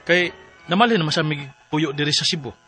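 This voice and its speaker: A man's voice: a little high, fast talker, bright, forceful tone, faint music behind. A man acting a worried, weary husband in a radio drama, earnest and troubled. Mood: troubled, confiding. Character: worried, weary working husband pleading for his wife.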